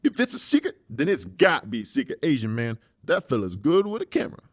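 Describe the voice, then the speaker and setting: Man playing a lively, streetwise hired tough. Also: medium pitch, fast, slightly rough, no room sound, studio quality. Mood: brash. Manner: streetwise, blunt, slangy. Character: muscular, foul-mouthed, wary male hired torturer. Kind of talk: audiobook character acting.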